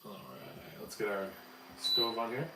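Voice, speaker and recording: Raspy-voiced male cooking host, chatty and upbeat, recorded by a distant mic in an echoey kitchen. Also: fairly low pitch, a little slow. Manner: upbeat, chatty, explains steps as he cooks. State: raspy, voice cracking after flu. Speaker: home cooking channel host. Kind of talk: live cooking stream chat. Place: home kitchen. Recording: echoey room, distant mic, faint noise. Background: kitchen clatter, hot plate.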